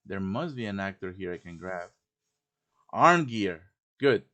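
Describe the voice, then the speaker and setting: A low male voice walks through a software task step by step in an informal way. He thinks aloud as he works, like a streamer giving a casual tutorial. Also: expressive, measured pace. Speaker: live stream host teaching quest making. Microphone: computer microphone on a live stream voice chat.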